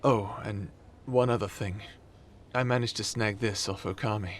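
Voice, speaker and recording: A man's voice: lowish voice, steady tone, normal speed, a little hiss or hum, lightly acted. A man playing an anxious, earnest soldier in a fantasy audio roleplay, talking in an urgent, faltering way. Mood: shaken.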